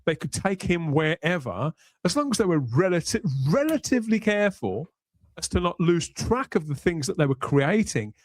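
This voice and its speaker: A man hosting a podcast panel discussion, talking in a measured, discursive way with deliberate pauses. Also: high voice, sing-song, no room sound. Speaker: podcast host.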